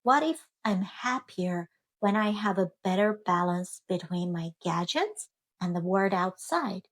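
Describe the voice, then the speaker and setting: A woman voicing an earnest, cheerful couch potato in a storybook, with expressive, drawn-out delivery. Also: on the low side, no echo. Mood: reflective.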